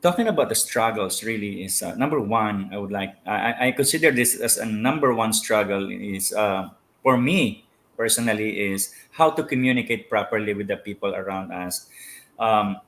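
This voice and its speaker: A middle-aged man with a Filipino accent, a pastor answering interview questions in halting speech with many fillers and restarts. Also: on the low side, fairly bright tone. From Philippines. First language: Filipino language. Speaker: pastor, guest.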